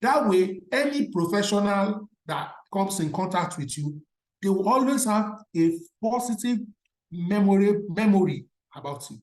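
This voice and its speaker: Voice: mid-range voice, expressive, unhurried, darkish tone. A speaker with a Nigerian accent, a native Yoruba speaker, giving a motivational career talk to alumni. The delivery is emphatic, and the speaker often throws rhetorical questions at the audience. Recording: roomy echo.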